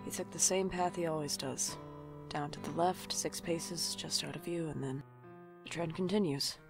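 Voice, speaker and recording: A woman's voice: low-pitched, fairly even intonation, normal speed. A woman playing a wry, jumpy night-shift shop worker who narrates an audio drama in a dry, sardonic way. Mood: brooding narration. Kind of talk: audio drama acting with first-person noir narration. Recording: music bed behind the voice.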